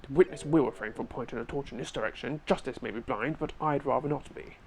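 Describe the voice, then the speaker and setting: A woman's voice: very deep voice, fast talker, creaky, slight background noise, poor audio. A woman voicing a formal, easily flustered older male judge in a fan-dubbed game. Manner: formal, fussy.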